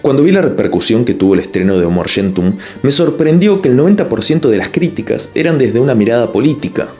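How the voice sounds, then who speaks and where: Un hombre que narra un ensayo en video con un tono pausado y explicativo. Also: male voice, mid-range voice, very animated, dark tone, soft background music. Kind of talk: scripted narration.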